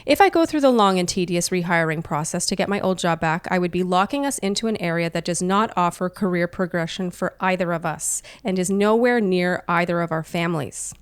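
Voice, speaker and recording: A woman co-hosts an advice podcast in a conversational way. Her voice sits a little low for a woman and is notably smooth and clear. The sound is dry, with very little room in it. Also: no echo. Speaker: podcast co-host answering listener questions. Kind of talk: reading a listener email aloud, conversational advice podcast. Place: living room, indoors.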